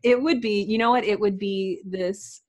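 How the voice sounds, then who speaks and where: A woman's voice: medium pitch, steady tone, normal speed, darkish tone. A woman chatting casually and enthusiastically as a guest in an informal interview. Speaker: podcast guest, writer and audio drama producer.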